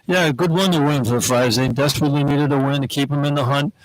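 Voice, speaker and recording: A man's voice: on the high side, steady tone, fast talker, rough recording. A man giving conversational postgame basketball analysis as a radio color commentator. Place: sports arena broadcast position.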